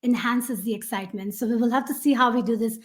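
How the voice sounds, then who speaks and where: A middle-aged woman with a Pakistani accent, a guest on a podcast, telling her story in a rambling, animated way with many fillers. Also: on the high side, fast. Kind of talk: podcast interview.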